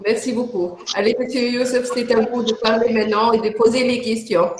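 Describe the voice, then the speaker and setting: A woman's voice: on the high side, steady tone. Hesitant woman hosting in an echoey space. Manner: hesitant, courteous, halting. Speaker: interview host. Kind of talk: live interview moderation. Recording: echoey room with crowd noise.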